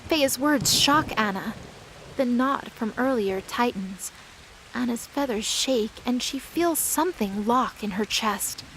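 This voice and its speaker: A woman's voice: fairly high pitch, expressive, slow pace, fairly bright tone, slight background noise, rough recording. A woman reading scripted, dramatic story narration as the narrator of a fantasy drama.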